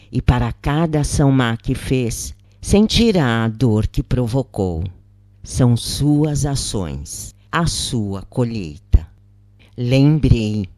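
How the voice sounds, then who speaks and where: Slow, mellow Brazilian storytelling narrator. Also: medium pitch, dry recording, clean studio sound. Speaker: narrator reading a spiritist account. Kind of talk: slow scripted narration of a first-person spiritual account.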